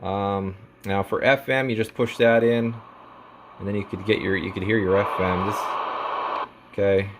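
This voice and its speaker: A man's voice: lowish voice, fairly even intonation, normal speed, background noise. A man casually demonstrating a product as a technician, explaining step by step in informal language with trade jargon. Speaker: radio technician presenting a radio for sale.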